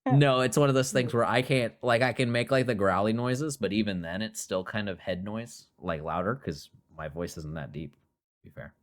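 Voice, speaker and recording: A man's voice: fairly low pitch, moderate pace. Casual swearing young man. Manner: swears freely, jokey, rambling. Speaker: podcast host. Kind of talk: casual chat.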